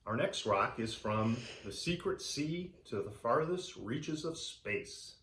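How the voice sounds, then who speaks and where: A man reading a story aloud for children in an animated, theatrical way. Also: medium pitch, slow pace, echoey room. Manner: animated, theatrical delivery for young listeners. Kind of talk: expressive read-aloud storytelling.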